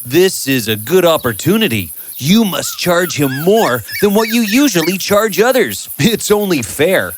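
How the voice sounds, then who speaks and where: A man's voice: high voice, sing-song, unhurried, few pauses, background noise. A man voicing a concerned village friend in a storybook tale, persuasive and insistent as he gives advice. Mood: friendly, persuasive. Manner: insistent, advising.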